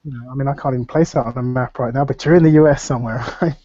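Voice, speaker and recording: A man's voice: mid-range voice, fairly even intonation, very fast, warm tone. A man with a nasal voice from a cold, talking in a rambling, hesitant way with many fillers on a casual video call. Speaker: panel guest. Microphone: computer microphone on video call. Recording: dull dry video call audio.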